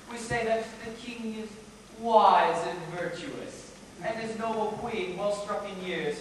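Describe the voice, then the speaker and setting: A young man on stage playing a bitter, deformed, scheming villain duke in declamatory Shakespearean style with deliberate pauses. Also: high-pitched. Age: young adult. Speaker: high school stage actor. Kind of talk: theatrical Shakespearean stage acting. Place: high school stage performance, recorded from afar. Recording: echoey theatre hall, distant microphone, faint noise.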